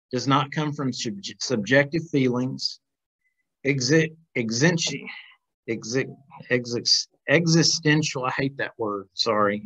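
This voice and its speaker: A man's voice: medium pitch, fairly bright tone. A middle-aged man teaching an informal Bible class over a video call, reading aloud and commenting conversationally with questions to the class. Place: small plain room, indoors. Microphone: video call, computer microphone. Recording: dull, lo-fi video call audio.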